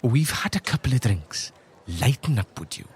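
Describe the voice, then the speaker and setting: Guy doing a tipsy old priest for a tabletop game, husky, rambling, pitch all over the place. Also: sing-song, moderate pace, heavily acted. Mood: tipsy, earnest. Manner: rambling, avuncular, punctuating with "eh" and "right".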